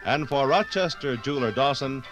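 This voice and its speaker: A man reading scripted 1950s radio narration like a storyteller, with dramatic pauses. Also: medium pitch, over music.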